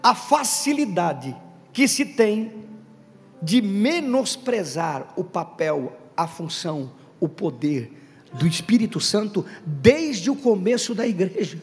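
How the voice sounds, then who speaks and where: A man preaching a Pentecostal sermon to his congregation. He talks emphatically, calls out questions to the room and builds to loud stressed bursts. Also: high-pitched, lively intonation, takes it slowly. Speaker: pastor. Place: church hall. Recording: reverberant hall with faint background music.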